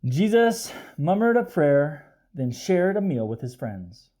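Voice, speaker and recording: A man narrates a children's storybook with animated pitch and an unhurried pace. He explains as he goes and puts questions to his young listeners in a mellow tone. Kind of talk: expressive children's read-aloud with asides.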